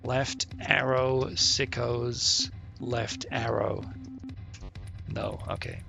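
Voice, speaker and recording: A man's voice: mid-range voice, fairly even intonation, drawn-out words, gravelly, over music. A man live-streaming a puzzle game, muttering and swearing in frustration as he guesses passwords. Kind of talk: live-stream commentary while gaming.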